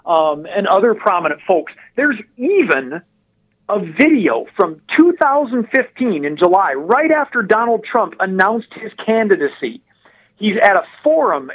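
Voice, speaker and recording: A man on a phone line, explaining and storytelling as an interview guest in an animated, emphatic, precise way. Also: high voice, normal speed, husky. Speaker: political blogger, phone-in guest. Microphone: telephone. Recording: dull, narrow phone line.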